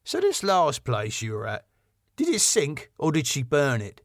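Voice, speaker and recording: Guy doing a slow puzzled sitcom mate, laid-back and guileless, voice goes up high. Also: wildly swooping pitch, dry recording, clean studio sound, heavily acted.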